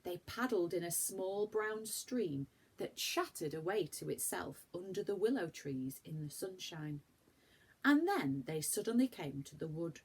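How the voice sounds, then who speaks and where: A woman reading a children's story aloud in an expressive, deliberate storytelling manner. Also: on the low side, husky, rough recording.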